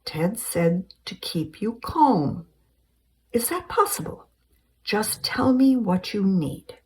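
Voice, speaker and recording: A woman's voice: mid-range voice, sing-song, slow, darkish tone, voice pushed up. A woman voicing a fussy, nervous British man with a British accent, fretting as he speaks. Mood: fretful.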